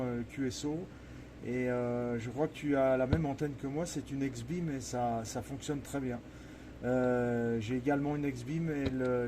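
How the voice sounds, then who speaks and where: A man in a casual amateur radio contact, halting and repeating himself as he searches for words. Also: medium pitch, noisy background. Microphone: stage vocal microphone at radio station.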